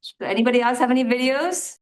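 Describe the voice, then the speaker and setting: A woman with a loud voice giving a casual talk to an audience in a hall and asking the room questions. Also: fairly high pitch, fast talker. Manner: chatty and upbeat, polls the audience. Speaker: guest speaker, account manager. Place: lecture hall at an in-person event.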